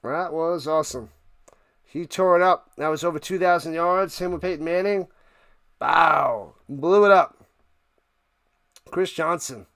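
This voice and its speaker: Casting: male sports streamer, high clear voice, casual chatty play-by-play, repeats himself, close dry sound. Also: fairly even intonation, normal speed, a bit bright, no echo. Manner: informal, conversational, repeats phrases. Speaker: live sports streamer, host. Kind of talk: casual play-by-play watch party commentary.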